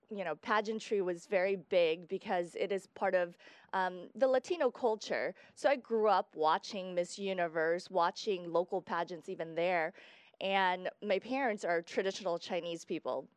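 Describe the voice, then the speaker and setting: A woman's voice: fairly low pitch, moderate pace, clear, resonant tone, no room sound. A young woman from Guatemala, a guest in a casual interview, explaining at length with fillers.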